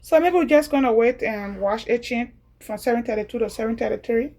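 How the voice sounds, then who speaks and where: Liberian woman, home video creator, somewhat high voice, casual and repetitive step-by-step delivery. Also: a little mellow. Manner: chatty, repeats steps slowly.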